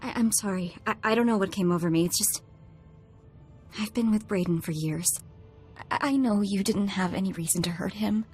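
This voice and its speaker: A woman's voice: medium pitch, a little mellow, natural voice. A woman playing a spunky young tech slicer. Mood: shaken. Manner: quick, chatty, teasing. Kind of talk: video game dialogue. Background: faint game music.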